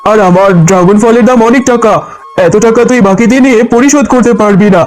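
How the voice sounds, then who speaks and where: A man's voice: very high voice, fast talker. A man voicing an impatient, scornful tiger shopkeeper in a children's cartoon. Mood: annoyed, dismissive. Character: gruff, dismissive male tiger shopkeeper in a cartoon. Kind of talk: children's cartoon dub in Bengali.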